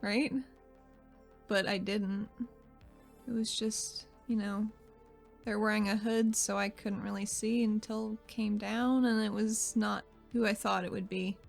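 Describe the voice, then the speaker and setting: A woman playing a guarded, uneasy female character in a tabletop game, halting and understated. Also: mid-range voice, little pitch movement, creaky, soft background music. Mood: shaken, defensive. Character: guarded, uneasy woman returning to active duty.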